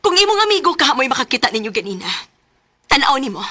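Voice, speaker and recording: A woman's voice: high voice, very animated, quick pace, heavily acted. An actress with a Cebuano accent playing a jealous, threatening young woman in a radio drama, with an accusing manner. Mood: angry, accusing. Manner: accusing, forceful. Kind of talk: Philippine radio drama acting.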